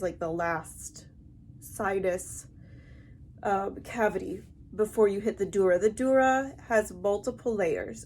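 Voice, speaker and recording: A woman with a stuffy, heavily congested nasal voice, talking to camera in a halting, explanatory way in a personal vlog. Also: medium pitch, a little mellow. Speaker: vlogger. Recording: amateur recording with light background noise.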